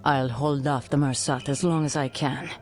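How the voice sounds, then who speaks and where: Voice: mid-range voice, fairly even intonation, moderate pace, a little mellow, faint music behind, close to an everyday voice. An actor playing a doomed resistance fighter in a video game cutscene, grave and resolute. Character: doomed resistance fighter making a last stand.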